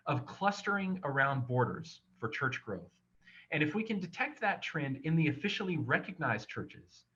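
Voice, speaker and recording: A man giving a measured, explanatory academic presentation on a webinar, speaking over a video call. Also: mid-range voice, sharp tone, dull audio. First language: not Chinese. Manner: measured, explanatory, with occasional fillers. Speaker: research presenter.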